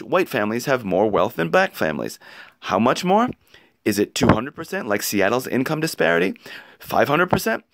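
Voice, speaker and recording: Male narrator for opinion piece: emphatic, rhetorical, highly varied intonation, dry close sound. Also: a bit bright, no room sound. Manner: emphatic, rhetorical delivery with pointed questions. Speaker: narrator reading an article aloud. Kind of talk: expressive read-aloud of an opinion essay.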